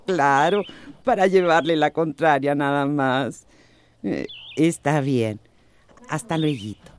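An actress playing a feisty, devoted, long-suffering housekeeper in a radio drama, speaking with a Central American accent. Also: female voice, deep voice, slow pace, pauses often. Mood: friendly, chatty.

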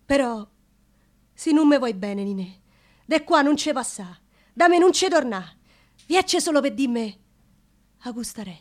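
A woman's voice: sits high, animated, a little slow, long pauses. Actress playing an ardent Roman woman in dialect monologue. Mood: insistent. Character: young Roman woman recounting her ardent, insistent suitor's plea. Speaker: stage actress.

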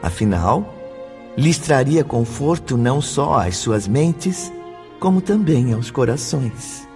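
Brazilian guy narrator for radio play, mid voice, solemn n measured, music behind. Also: mid-range voice, sing-song, darkish tone. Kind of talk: scripted radio drama narration.

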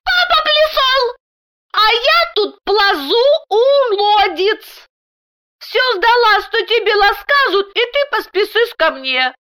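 A woman's voice: very high voice, expressive, slow, bright, forceful tone, pitched above the performer's own voice, heavily acted. A woman voicing a sweet, mischievous little girl in a story, with a childish lisp that turns R into L. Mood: plaintive, clingy. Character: Masha, lisping little girl, sweet, mischievous daddy's darling.

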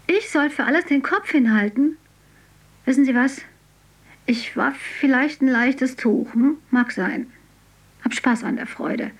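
Slow bitter confession, kinda high voice, radio drama, mic sounds far. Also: female voice, husky. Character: young woman confessing to police. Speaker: narrator testifying. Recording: distant mic.

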